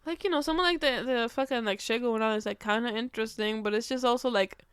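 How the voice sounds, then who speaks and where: Female podcast co-host, higher register, dry close sound, casual profane film banter, blunt and jokey. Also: quick pace, fairly bright tone, smooth, no room sound. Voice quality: slangy, profane.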